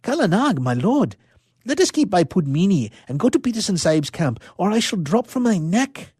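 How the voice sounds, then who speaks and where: A man's voice: sits high, big pitch swings, moderate pace, a little mellow, breathy edge, no room sound, clean studio sound, voice pushed up, heavily acted. A man voicing an exhausted, awestruck young elephant-driver boy in a storybook reading, crying out earnestly and wearily. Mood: weary pleading.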